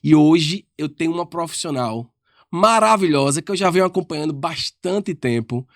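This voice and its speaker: A man's voice: fairly high pitch, expressive, normal speed, creaky, no room sound, clean studio sound. A man hosting a podcast interview, friendly and affectionate, often checking in with listeners with short tags. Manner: friendly and affectionate, ends many lines with "tá?". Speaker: podcast host.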